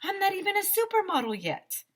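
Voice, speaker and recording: A woman's voice: extremely high pitch, sing-song, brisk, pitched above the performer's own voice. A woman voicing a cocky, defensive schoolboy who is full of himself, in a storybook reading. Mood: indignant. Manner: indignant, self-important.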